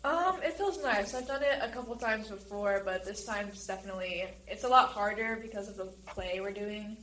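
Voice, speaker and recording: Teen girl interviewee, medium pitch, casual delivery, echoey and slightly noisy. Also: normal speed, a bit bright. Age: teenager. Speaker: student actress. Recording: roomy echo, faint background noise.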